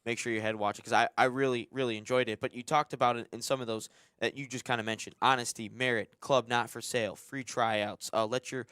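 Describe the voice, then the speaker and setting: A man's voice: mid-range voice, fairly even intonation, fast, hardly any pauses, a bit bright, clean tone, no room sound, clean studio sound. A man co-hosting a soccer podcast, asking a question in a chatty, conversational way. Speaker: podcast co-host. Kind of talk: podcast interview.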